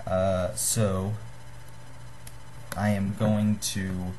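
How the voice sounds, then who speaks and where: A young man giving a casual how-to demonstration to a webcam in a small room, halting and apologetic. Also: sits low, level tone, mellow, a little hiss or hum. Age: young adult. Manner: halting, apologetic, self-deprecating. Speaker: hobbyist giving a homemade gadget tutorial. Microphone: webcam or computer microphone at desk distance.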